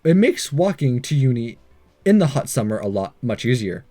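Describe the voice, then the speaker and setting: A man's voice: medium pitch, sing-song, normal speed, warm tone. A man hosting a game playthrough, reading visual novel text aloud with jokey asides and the odd stumble.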